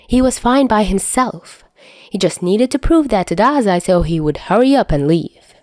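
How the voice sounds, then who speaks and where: A woman's voice: medium pitch, animated, normal speed, a little mellow, no room sound, clean studio sound. A woman reading scripted fiction as a narrator. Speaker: podfic narrator.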